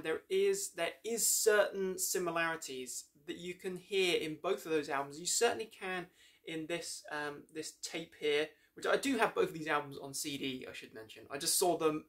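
A man's voice: on the high side, a bit bright. A young man giving a casual, enthusiastic review to camera, rambling a little and correcting himself as he goes. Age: young adult. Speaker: music reviewer, host. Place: small room lined with shelves, indoors.

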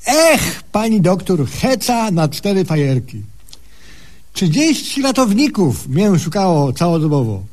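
A man's voice: high-pitched, very animated, darkish tone, heavily acted. An actor playing a cocky, simple-minded male patient in a humorous radio sketch, with folksy, ungrammatical speech. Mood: boastful. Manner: folksy, ungrammatical, drawn-out exclamations.

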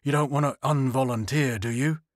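A man's voice: mid-range voice, fairly even intonation, brisk, dry recording, studio quality, close to an everyday voice. A man voicing a bold, plainspoken male spaceship commander in a story reading. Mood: wry.

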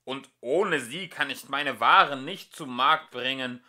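A man's voice: medium pitch, sharp tone. A man voicing a poor, worried old villager in a storybook, pleading plaintively. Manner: slow, plaintive.

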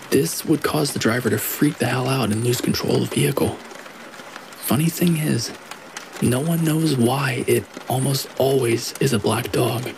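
Gravelly male horror narrator over rain. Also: medium pitch. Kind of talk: scripted narration of scary stories. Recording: steady rain noise behind the voice. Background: rain falling.

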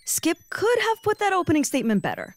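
A woman's voice: extremely high pitch, huge pitch swings, fast, full character voice. A woman playing a brash, loyal agent in an audio drama, casual, blunt and sassy. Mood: blunt, defiant. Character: brash, loyal female agent, outspoken, upbeat.

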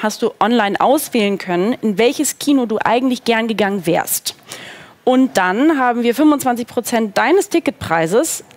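Woman in her 30s, kinda high voice, casual interview answers, throws in english bits. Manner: informal, sprinkled with English phrases. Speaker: talk show guest, film distributor. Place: indoor talk studio with seated group. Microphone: headset.

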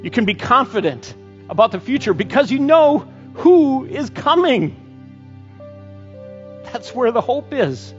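A middle-aged man preaching a sermon and leading a closing prayer, slow and earnest with frequent pauses, on a headset mic. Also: very high voice, very animated, soft background music. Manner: slow, earnest, pausing often. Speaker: pastor. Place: church stage, indoors. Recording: dull church recording with long reverb.

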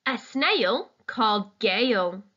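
Female storytime reader for young children: bright, very lively intonation, expressive and deliberate, on a lo-fi home recording. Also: fairly high pitch. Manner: deliberate, addressing young listeners with questions. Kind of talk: expressive children's picture-book read-aloud.

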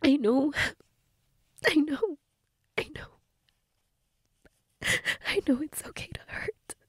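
A woman acting a grieving girlfriend in an intimate audio role-play, crying and fragile, halting and repeating herself, often dropping to a whisper. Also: high-pitched, expressive, no echo, clean studio sound. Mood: grieving, sobbing. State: crying, sniffly, breathy from tears. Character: grieving girlfriend, tearful and fragile, insisting she's fine.

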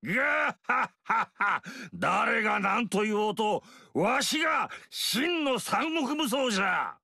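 An actor playing a boastful, cruel and gluttonous tyrant warlord in a video game, with a gravelly, booming voice, gloating and laughing heartily. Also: male voice, sharp tone, no echo, exaggerated voice. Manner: blustering, gloating, hearty guffaws. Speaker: game character voice. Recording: dry studio recording.

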